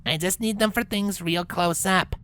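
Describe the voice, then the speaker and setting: A woman's voice: medium pitch, normal speed, fairly bright tone, lightly acted. A woman voicing a brash, sulky young female cartoon pegasus who grumbles in cocky slang. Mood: casual, stubborn. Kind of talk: character acting in a fan-fiction story reading.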